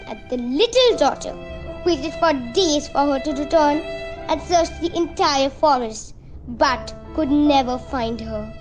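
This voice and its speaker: A woman's voice: high-pitched, poor audio. A woman playing a bold, fussy mother with a chatty, insistent manner in an amateur radio play. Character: Doris, outspoken, fussy, bold village mother.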